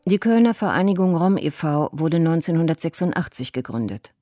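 A woman reading a radio documentary script in a calm, measured way. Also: low voice, over music. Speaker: documentary narrator.

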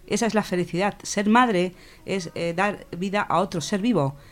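A woman from reflecting informally, halting and rephrasing with emphasis as a discussion participant. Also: medium pitch. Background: faint music.

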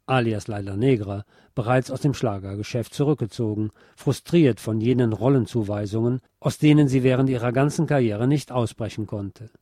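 A man reading a scripted documentary narration in a measured, articulate way. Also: mid-range voice, dark tone, no room sound, studio quality.

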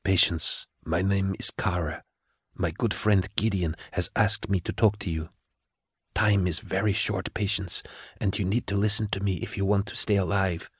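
A man voicing a soft-spoken young woman who talks in hushed, reassuring tones. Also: deep voice, steady tone, moderate pace, husky, no room sound, studio quality.

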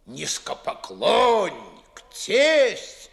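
A man's voice: high voice, huge pitch swings, extremely slow, creaky, exaggerated voice. An actor playing a pompous, indignant older father in a 1950s audio play, scolding theatrically in comic verse. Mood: flustered outrage.

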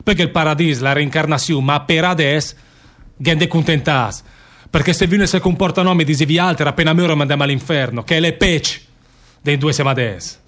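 An actor playing a bitter, weary condemned anarchist emigrant worker with a northern Italian Lombard accent, declaiming in dialect in a radio drama. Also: male voice, on the high side, fairly quick, a bit bright, close to an everyday voice. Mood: bitter, accusing. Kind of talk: stage-style radio drama monologue in dialect.

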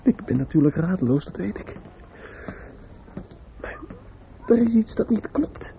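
An actor playing a desperate, distraught young man in a radio play, muttering in a halting, repetitive, obsessive way. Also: high-pitched, lively intonation, vocal fry, mellow, dull audio, slight background noise, exaggerated voice.

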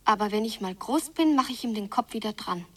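A woman's voice: fairly high pitch, lively intonation, fairly quick, a bit bright, husky, distant mic. A female performer voicing an earnest, naively determined small child in a radio drama.

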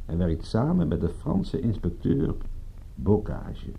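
An actor playing a wry, unflappable private detective in a radio drama, with a husky voice and a dry, sardonic manner. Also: male voice, fairly low pitch, big pitch swings, takes it slowly, mellow, a little hiss or hum, exaggerated voice. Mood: guarded, matter-of-fact. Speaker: narrator and lead character. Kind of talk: radio drama acting with narration.

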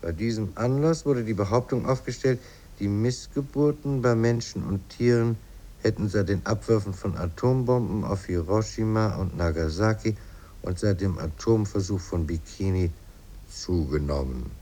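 A man delivers formal 1950s radio narration. His voice is dark and on the low side, with a measured, sober delivery.

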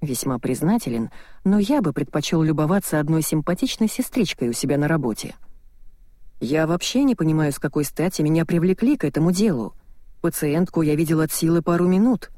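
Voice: mid-range voice, lively intonation, brisk, mellow, no room sound, close to an everyday voice. A reader voicing a young English male doctor in an audiobook, grumbling and sarcastic. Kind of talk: audiobook dialogue reading.

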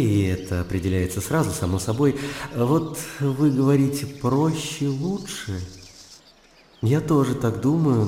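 An actor playing a fervent, high-strung young idealist gentleman in a radio play, arguing in formal, rhetorical language. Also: roomy sound, big-room sound, slight background noise, close to an everyday voice. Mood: earnest, polite.

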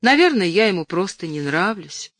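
A woman's voice: fairly low pitch, big pitch swings, dry recording, clean studio sound. Woman voicing a timid, wistful young actress. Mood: resigned, brave. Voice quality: trembling, frightened little voice. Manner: quiet, meek, halting.

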